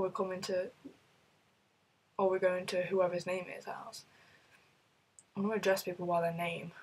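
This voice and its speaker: A woman's voice: medium pitch, husky, rough recording. A woman with a British accent chatting casually through a list of questions, rambling with lots of fillers while she is ill. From United Kingdom. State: ill, voice rough. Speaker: vlogger.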